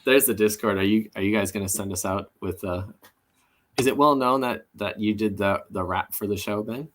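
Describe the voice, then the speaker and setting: A man's voice: on the low side, normal speed, a bit bright, slight background noise. A man with a Midwestern American accent mixed with some Australian, chatting casually as a podcast guest. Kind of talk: casual chat.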